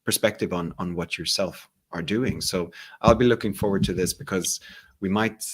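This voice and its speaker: A Swedish-accented man with a very low, deep voice speaks in a relaxed interview. He is calm and reflective, and he often circles back over his words as he thinks aloud. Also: normal speed, husky. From Sweden. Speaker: hypnotherapist guest. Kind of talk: casual interview conversation.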